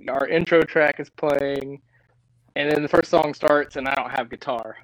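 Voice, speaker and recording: A young man from Tennessee, a lead singer telling stories in a casual interview, animated and laughing often. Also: on the high side, normal speed, no room sound, rough recording.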